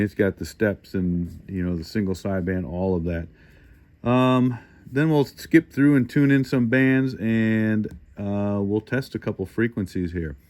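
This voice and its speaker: A man's voice: low-pitched, darkish tone. A man hosting a casual hands-on product walkthrough, talking informally and thinking aloud as he goes. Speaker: host of a hobby radio review channel.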